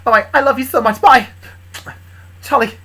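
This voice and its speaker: A woman's voice: on the high side, moderate pace, a bit bright, husky, voice pushed up. A woman voicing an affectionate, eager female sweetheart in a story, gushing and doting. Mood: overjoyed.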